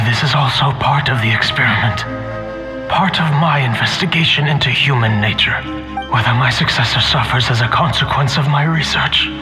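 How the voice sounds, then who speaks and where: An actor playing a grave, despairing male scholar in a game, speaking in a formal, measured, grandiloquent way. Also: medium pitch, close to an everyday voice. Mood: solemn, resolute. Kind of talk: dramatic game voice acting. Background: faint background music.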